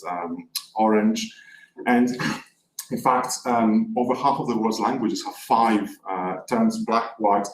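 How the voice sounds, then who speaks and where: Man, professor giving a plenary lecture, lowish voice, distant mic, echoey hall, faint background hiss. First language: Greek. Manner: explanatory, poses rhetorical questions to the audience.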